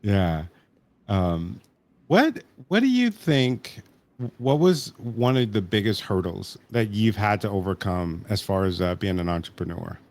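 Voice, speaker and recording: Low-voiced admiring male podcast host. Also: lively intonation, unhurried, darkish tone. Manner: enthusiastic, admiring, many "wow"s and restarts. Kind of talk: casual interview talk.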